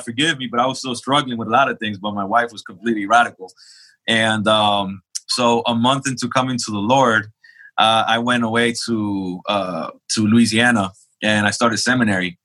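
A man's voice: on the low side, pushed and bright, poor audio. A young adult man from Queens, New York, a pastor telling his testimony in a casual interview, talking conversationally with fillers and restarts. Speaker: pastor, podcast guest.

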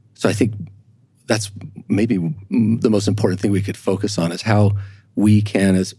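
Mellow, husky-voiced middle-aged man. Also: fairly low pitch, no room sound. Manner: thoughtful, measured, frequent restarts. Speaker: historian, guest. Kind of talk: interview conversation.

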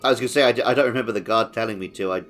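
Low-voiced man chatting casually. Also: very fast, a bit bright, soft background music. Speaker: podcast co-host. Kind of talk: casual chat about a video game.

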